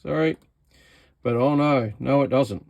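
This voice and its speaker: A man's voice: mid-range voice, measured pace, gravelly, a little mellow, no echo, highs cut off. An Australian man giving a casual, hands-on radio repair demonstration, talking informally with an incredulous, exasperated manner. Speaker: radio repair vlogger and seller.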